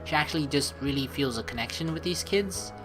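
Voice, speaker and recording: A woman hosting a let's play, reading game narration and dialogue aloud with playful, expressive commentary. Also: sits low, brisk. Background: game music.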